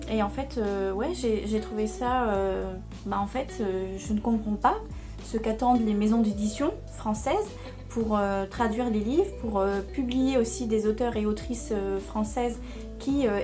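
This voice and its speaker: A young woman with a slightly high voice chats casually about books. She hesitates a lot, filling her pauses with soft sounds, and light music plays quietly behind her. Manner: hesitant, many fillers. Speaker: book vlogger. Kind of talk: casual book chat.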